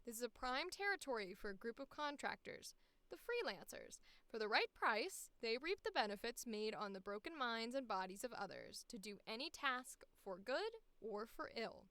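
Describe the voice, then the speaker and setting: A woman's voice: fairly high pitch, big pitch swings, moderate pace, bright, forceful tone, no room sound. A woman voice actor chatting casually on a livestream, reading casting calls aloud and musing to herself as she goes. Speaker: voice actor streaming auditions.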